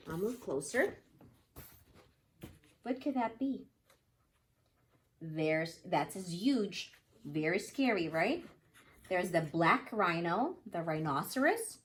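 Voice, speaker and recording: A woman's voice: on the low side, slight background noise. A woman teacher leading an interactive picture-book lesson for young children, explaining things simply and often asking the children questions.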